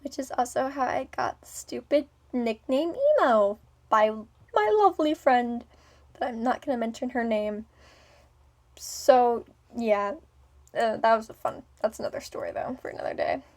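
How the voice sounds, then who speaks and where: A woman's voice: fairly high pitch, moderate pace. Rambling young woman vlogger, hesitant. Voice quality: speech impediment. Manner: hesitant, many ums and restarts; switches between smooth business tone and playful voice. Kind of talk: rambling personal vlog.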